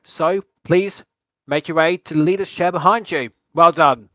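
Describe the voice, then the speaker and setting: A man hosting a quiz show with measured, formal delivery that sometimes restarts its phrases. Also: fairly high pitch, fairly bright tone, no echo, studio quality.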